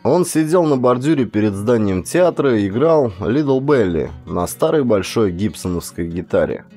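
Low-voiced man narrating an audiobook. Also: animated, moderate pace, warm tone, faint music behind. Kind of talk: dramatic scripted audiobook narration.

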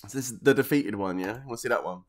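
A man's voice: medium pitch, racing. A man, chatting casually on a game live stream, joking in slang, laughing often and answering viewer questions from his desk at home.

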